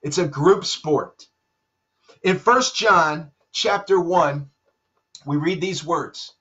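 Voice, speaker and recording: A man in his fifties, a pastor, preaching a sermon from a room at home into a webcam, dropping soft and low for emphasis. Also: takes it slowly. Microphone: webcam at desk. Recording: dull lo-fi webcam audio.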